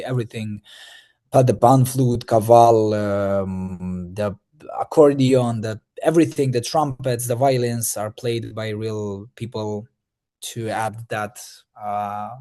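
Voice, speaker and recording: A man's voice: mid-range voice, dark tone. Young man, hesitant. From Moldova. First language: Romanian. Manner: hesitant, many fillers and restarts. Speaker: Eurovision artist. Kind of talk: interview answers.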